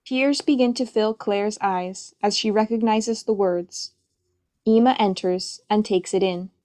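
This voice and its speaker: Mid-pitched woman reading stage directions. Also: a little slow, a little mellow. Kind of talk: scripted narration, present tense.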